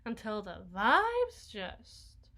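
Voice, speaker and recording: Woman voicing a young female vampire, sarcastic lazy gamer, whiny, mumbling, bright edgy tone, slow halting delivery, ASMR roleplay. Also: a little high, wildly swooping pitch, heavily acted. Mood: sulky boasting. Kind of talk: ASMR roleplay, one-sided conversation with an unheard partner.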